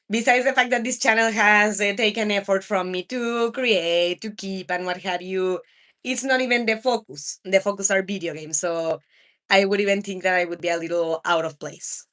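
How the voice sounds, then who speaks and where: A woman's voice: medium pitch, moderate pace, fairly bright tone. A woman in her thirties from Mexico, a native Spanish speaker with a Mexican accent, chatting casually with viewers as a livestream host. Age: 30s. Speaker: video game live streamer.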